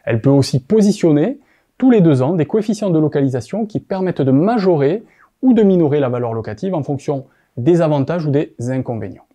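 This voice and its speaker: A man's voice: fairly high pitch, lively intonation, fairly quick, warm tone. A man with a French accent from France presents a scripted explainer in a formal, didactic way.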